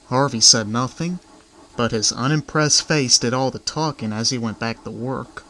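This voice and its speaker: A man's voice: mid-range voice, moderate pace, pushed and bright, poor audio. A man narrating a scripted children's story.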